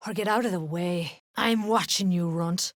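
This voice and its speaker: A woman's voice: fairly low pitch, moderate pace, no room sound, close to an everyday voice. Tough, close-miked woman as a duergar mercenary. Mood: surly, blunt. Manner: coarse, blunt, menacing. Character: tough, foul-mouthed female duergar mercenary clan fighter, threatening. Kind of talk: fantasy game character acting.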